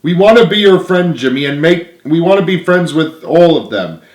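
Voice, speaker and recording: A kind-hearted young orphan girl, voiced by a man reading a storybook, earnest and pleading. The voice is lifted well above a man's normal pitch and sounds in an echoey room. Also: expressive, normal speed, close to an everyday voice. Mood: sincere, pleading. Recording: roomy, echoey home recording.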